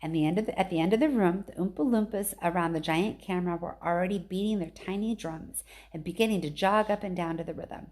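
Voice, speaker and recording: A woman narrating a children's story aloud in an expressive storytelling style. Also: fairly low pitch, quick pace, hardly any pauses. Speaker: story reader.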